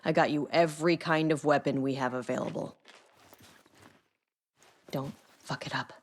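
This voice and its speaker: A tough government agent in a game drama, with a low voice. She is blunt and no-nonsense, with dry sardonic delivery. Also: fairly even intonation, moderate pace. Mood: stern, sardonic.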